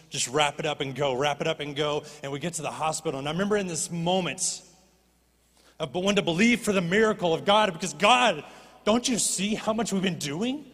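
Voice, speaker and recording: A man preaching from a church stage, his voice sitting a little high for a man. He is animated and swings up into impassioned pleading. His words carry a long hall reverb. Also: breathy edge. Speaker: pastor. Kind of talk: sermon.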